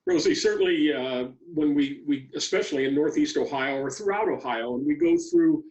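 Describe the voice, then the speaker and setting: A man's voice: a little high, expressive, normal speed, a little mellow, highs cut off. Male sports podcast interviewer, upper-register voice, slightly distant pickup, feels his way through questions.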